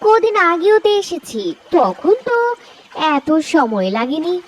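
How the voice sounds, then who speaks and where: A woman's voice: very high-pitched, very animated, measured pace, a little hiss or hum, exaggerated voice. An actress voicing a bossy middle-aged mother in a cartoon drama, with a reproachful manner. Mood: nagging, impatient.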